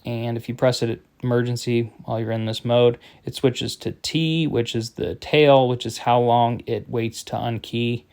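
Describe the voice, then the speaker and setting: Man with a creaky, informal explaining voice. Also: medium pitch, steady tone, normal speed, few pauses, darkish tone. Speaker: product demonstrator. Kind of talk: informal step-by-step radio tutorial.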